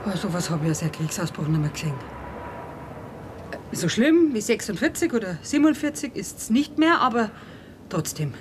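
Animated, indignant hungry woman played by an actress. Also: mid-range voice, normal speed, a little hiss or hum, lightly acted. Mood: awed, plaintive. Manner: indignant, plaintive grumbling. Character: hungry, indignant postwar woman grumbling at officials. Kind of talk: German radio play acting.

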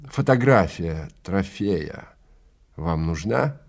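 A man playing a stern, matter-of-fact hunting guide in an audio drama, explaining with brisk authority. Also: deep voice, animated, heavily acted. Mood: firm, explaining.

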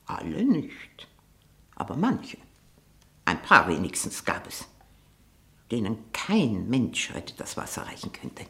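An actress playing a haughty, sharp-tongued old aunt in a 1960 German radio drama, probing and disdainful, living on her memories. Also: extremely low pitch, wildly swooping pitch, slow pace, long pauses, heavily acted. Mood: nostalgic, proud.

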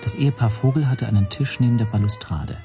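An actor playing an observant, nervous hotel guest who narrates his own story in a radio drama, measured and precise. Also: medium pitch, dark tone, faint music behind, close to an everyday voice. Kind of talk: scripted first-person radio drama narration.

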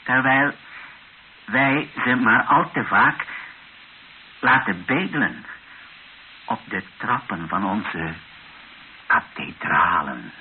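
A man's voice: on the low side, expressive. Een man die in een hoorspel een broze, plechtige bisschop speelt die nadrukkelijk en haperend zijn laatste boodschap uitspreekt. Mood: solemn, impassioned. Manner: halting, solemn, emphatic repetitions. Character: frail, solemn dying Belgian bishop giving his last testament. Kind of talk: radio play acting. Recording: muffled, hissy old radio recording.

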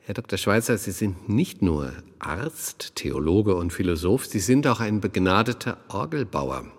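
Polite radio reporter, lowish voice, very lively intonation, chatty, faint music. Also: male voice, measured pace, heavily acted. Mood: courteous, probing. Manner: courteous, conversational. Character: polite, eager radio interviewer from Westphalia. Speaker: radio interviewer. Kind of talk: live radio interview within radio play.

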